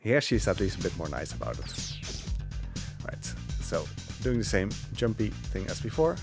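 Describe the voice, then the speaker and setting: A man's voice: sits low, very animated, moderate pace, gravelly, over music. A man giving casual live commentary on his own speedrun, rambling with hesitant asides as he plays. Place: live gaming marathon event, game audio behind.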